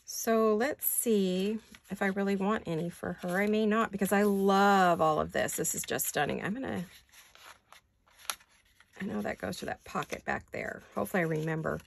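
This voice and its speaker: An older woman with a raspy, gravelly voice at an ordinary mid pitch. She chats casually and rambles as she works in her home craft studio, thinking out loud and correcting herself as she goes. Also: moderate pace, slight background noise. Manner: rambling, chatty, thinks aloud while working. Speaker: craft vlogger. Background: neighborhood garbage-day banging.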